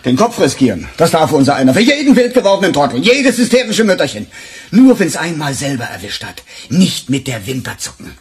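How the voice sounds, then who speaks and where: An actor playing a sarcastic, embittered police detective in a radio crime drama, defensive and emphatic, with a husky voice. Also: male voice, fairly high pitch, very animated, a little fast, heavily acted. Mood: indignant, bitter.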